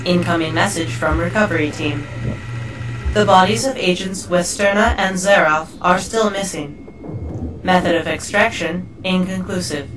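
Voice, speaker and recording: Female actor as emotionless computer, clipped formal reports, lowish voice, loud music behind. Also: unhurried, reverberant, recorded from a distance, natural voice, rough recording. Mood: impassive. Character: impassive female computer system giving status reports. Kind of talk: scripted computer announcements.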